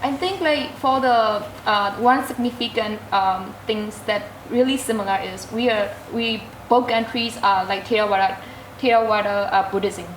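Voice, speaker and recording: A young woman, a student guest answering interview questions hesitantly, filling pauses with "like" and "um". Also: mid-range voice, slight background noise. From Myanmar. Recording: roomy echo.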